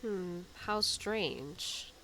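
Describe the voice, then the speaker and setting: A woman's voice: fairly low pitch, expressive, drawn-out words, bright, forceful tone, a little hiss or hum, low-quality recording. A woman giving casual commentary as she plays a video game and reacts to its story. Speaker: gamer reacting to a story quest.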